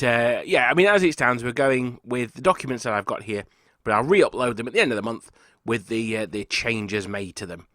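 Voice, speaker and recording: A man, husky and congested from a cold, chatting casually as host of a wargaming channel while talking through slides. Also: mid-range voice, a bit bright, no room sound, studio quality. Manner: chatty, rambling, self-correcting. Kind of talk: casual talk over slides.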